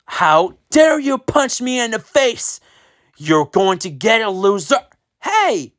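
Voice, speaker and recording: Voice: mid-range voice, a little slow, fairly bright tone, voice pushed up, lightly acted. A reader voicing a mean, taunting school bully in a homemade spoken story. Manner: sneering, taunting.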